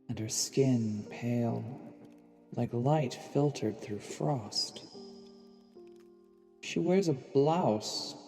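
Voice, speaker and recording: A man playing a watchful, brooding assassin posing as a noble, narrating deliberately with dramatic pauses in a fantasy audio drama. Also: medium pitch, gravelly, warm tone. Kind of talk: dramatic first-person scripted narration. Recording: long reverb, music underneath.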